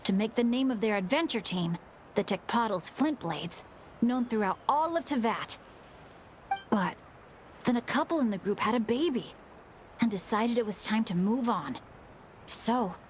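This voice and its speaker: A woman's voice: a little high, expressive, moderate pace, slight background noise, lightly acted. A woman voicing a spirited, frank young female adventurer in an anime-style video game. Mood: eager explaining.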